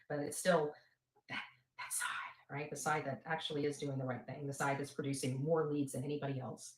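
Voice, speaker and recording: Deep-voiced woman livestreaming in an echoey room. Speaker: livestream host and advocate. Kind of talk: casual livestream talk to followers. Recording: roomy, echoing livestream replayed.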